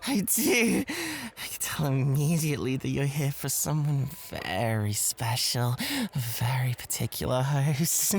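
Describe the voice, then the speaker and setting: A woman plays an excitable, sly nightclub host who fawns over a guest in an ASMR roleplay. The voice is fairly low and slow, yet it swoops widely in pitch. The delivery is breathy, coy and possessive. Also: exaggerated voice. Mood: excited, gushing. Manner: breathy, gushing, giggly, stammering with excitement.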